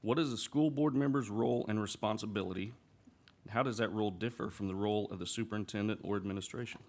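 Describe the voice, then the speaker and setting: A man's voice: lowish voice, quick pace, a little mellow. A man chairing a school board meeting, speaking in a plain, procedural way.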